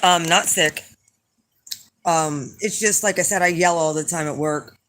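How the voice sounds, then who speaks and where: A hoarse middle-aged woman chatting casually to her viewers as an astrology reader, rambling and blunt with lots of fillers. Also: lowish voice, a little slow, a bit bright, a little hiss or hum. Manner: rambling and blunt, with frequent fillers and some swearing. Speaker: astrology reader and channel host.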